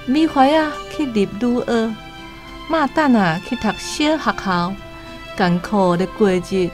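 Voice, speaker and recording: Woman acting a slow, sorrowful older Taiwanese mother. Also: fairly high pitch. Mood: sorrowful, consoling. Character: devout, sorrowful older mother pleading for her son. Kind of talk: radio drama storytelling. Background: music.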